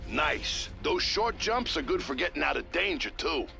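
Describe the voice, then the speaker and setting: A man's voice: fairly high pitch, big pitch swings, moderate pace, creaky, heavily acted. A man voicing a cocky, folksy starship engineer in a video game, talking in a casual, slangy, brash way. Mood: upbeat briefing. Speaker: ship engineer giving tips. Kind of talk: video game character acting. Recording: loud game music behind.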